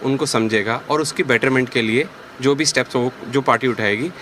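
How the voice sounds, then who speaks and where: A man's voice: mid-range voice, a little fast, fairly bright tone. A man answering a casual street interview in a market, polite and noncommittal, mixing English words into his speech. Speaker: local market voter being interviewed. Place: outdoors in a city market. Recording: faint outdoor traffic noise.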